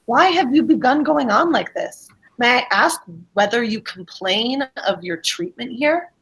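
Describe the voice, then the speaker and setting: A husky, dismissive middle-aged gentleman, read by a woman in an amateur readers' theatre piece. He talks down in emphatic, old-fashioned phrases, brusque and sure of himself. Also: on the high side, lively intonation, measured pace, pitched above the performer's own voice, heavily acted, rough recording. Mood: puzzled, probing.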